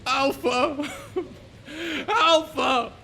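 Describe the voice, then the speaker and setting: A man's voice: very high-pitched, fairly even intonation, very slow, pushed and bright, full character voice. An actor playing a huge blubbering male crybaby who wails and sobs in a video game cutscene. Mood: wailing. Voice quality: wailing, creaky, sobbing.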